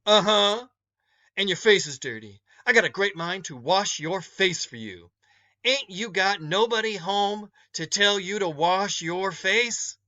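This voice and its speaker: Male reader as a large stern woman, scolding, folksy, commanding, forceful bright tone, storybook read-aloud, slightly dull recording. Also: high-pitched, animated, measured pace, no echo, voice pushed up, heavily acted. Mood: firm, scolding.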